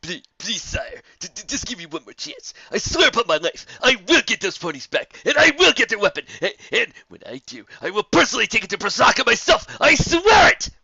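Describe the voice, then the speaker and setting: A man's voice: high voice, very animated, fairly quick, creaky, few pauses, sharp tone, breathy edge, no room sound, lo-fi sound, voice pushed up, exaggerated voice. A man voicing a proud but cowardly, hot-tempered monster captain who stammers and blusters in a fantasy story. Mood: fearful pleading. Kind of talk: audiobook fantasy villain.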